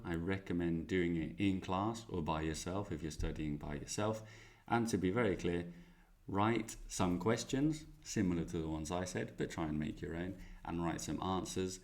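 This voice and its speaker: Male teacher, deep voice, plain instructive tone, explaining a task. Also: moderate pace, darkish tone. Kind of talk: explaining a classroom activity.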